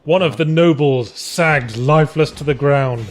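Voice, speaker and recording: Male co-host, somewhat high pitch: mock dramatic reading mixed with wry casual banter. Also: a little slow, slight background noise. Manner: wry, joking.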